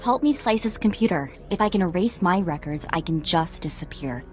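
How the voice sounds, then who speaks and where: A woman's voice: on the low side, expressive, a little fast, faint music behind, natural voice. A woman voicing a defiant, self-important young clone in a video game, with intense, contemptuous delivery. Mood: desperate, pleading.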